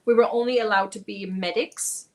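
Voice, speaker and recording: A woman's voice: mid-range voice, fairly even intonation, moderate pace. Woman, forties, casual crochet stream host, chatty, mutters while stitching. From Germany. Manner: chatty, reads viewer comments aloud, mutters while counting stitches.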